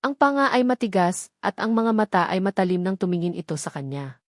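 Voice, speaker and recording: Female narrator for dramatic romance audio story, mid-pitched, dry studio-like sound. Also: big pitch swings, a little fast, no echo.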